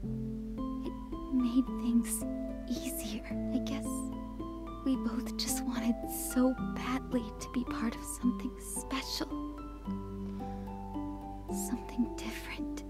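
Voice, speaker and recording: A woman playing a troubled, apologetic, grieving teenage girl in a visual novel, speaking softly and hesitantly. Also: a little high, flat delivery, takes it slowly, pauses often, whispered. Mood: wistful. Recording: music behind the voice.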